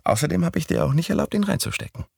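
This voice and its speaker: A man voicing a bold, whimsical young woman in an audiobook, with a raised, lively, teasing lilt. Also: a little high, rapid-fire, gravelly, breathy edge, no echo, studio quality, voice pushed up, heavily acted. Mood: breezy. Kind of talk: audiobook character voice.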